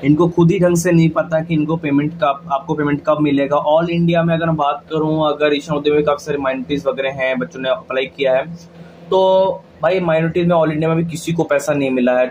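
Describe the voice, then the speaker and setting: A man's voice: on the high side, darkish tone, a little hiss or hum, rough recording. A man with an Indian accent giving an informal explainer to viewers, chatty and colloquial, often checking they follow. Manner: colloquial, addresses viewers like friends, frequent check-in tags. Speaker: video host giving scholarship updates.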